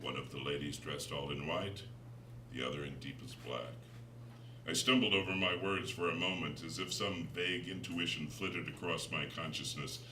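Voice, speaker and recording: A low-pitched man narrates a gothic novel dramatically, with a bright, forceful tone. He sounds set back from the mic in a roomy space, with clear background noise. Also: fairly even intonation, moderate pace. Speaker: author reading his own novel. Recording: roomy, distant, noisy recording.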